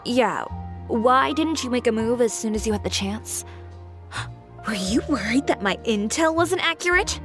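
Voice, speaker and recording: Self-possessed young informant, slightly high voice, pointed, cool, anime game, music clearly playing behind. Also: female voice, lively intonation, measured pace. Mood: questioning. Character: self-assured, candid young woman informant. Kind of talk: anime-style game voice acting.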